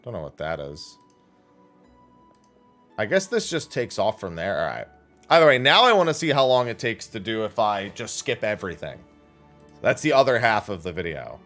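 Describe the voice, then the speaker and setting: A man's voice: lowish voice, sing-song, moderate pace, pauses often, fairly bright tone, soft background music. A man giving casual, rambling livestream commentary as he plays a game, thinking aloud. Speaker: livestream gamer and host.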